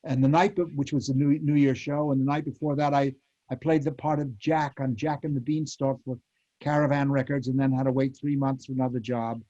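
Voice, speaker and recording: An American man in his eighties, a former radio actor, reminiscing in a panel interview with halting, animated storytelling. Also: mid-range voice. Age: 80s. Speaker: former child radio actor, convention guest. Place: remote virtual convention call. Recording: muffled lo-fi call audio.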